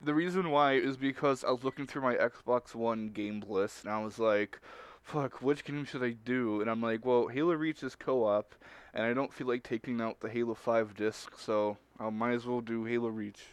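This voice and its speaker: A man's voice: mid-range voice, fairly even intonation, moderate pace, clean tone, no room sound. A man chatting casually and slangily on a livestream in a rambling way. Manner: slangy, rambling, chuckles often. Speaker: web video creator.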